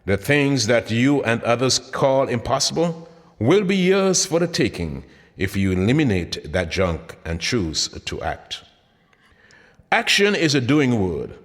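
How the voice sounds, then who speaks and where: A man reading his own motivational audiobook in an emphatic, deliberate style with dramatic pauses. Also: mid-range voice, very animated. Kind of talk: scripted motivational audiobook narration. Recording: long hall-like reverb.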